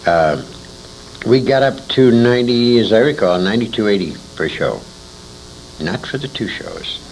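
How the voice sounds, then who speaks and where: A man's voice: lowish voice, lively intonation, normal speed. An older American man reminiscing in an interview, assured and emphatic as he sets out the details. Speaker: interviewee, former radio actor. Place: convention interview recording. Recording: noisy, humming amateur tape recording.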